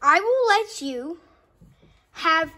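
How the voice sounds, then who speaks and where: A female performer voicing an excitable, boastful toy animatronic with showy, emphatic delivery in a homemade play. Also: extremely high pitch, extremely slow, exaggerated voice. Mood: boastful, excited.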